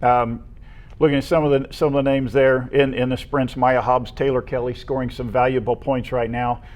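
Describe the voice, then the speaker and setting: An American man co-hosting a local high school sports show, casually running through names and statistics. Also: level tone, normal speed, darkish tone.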